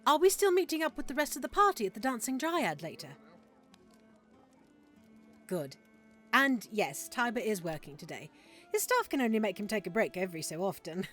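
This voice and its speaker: A woman playing a warm, teasing half-elf merchant in an intimate audio roleplay, speaking playfully and affectionately. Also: mid-range voice, big pitch swings, moderate pace, long pauses, faint music behind. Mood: playful, friendly.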